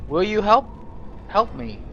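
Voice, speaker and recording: A man hosting a gaming video, improvising role-play narration in a halting, searching storytelling manner. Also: high-pitched, sing-song, fairly bright tone, highs cut off, soft background music. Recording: noisy game audio behind voice. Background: video game ambience.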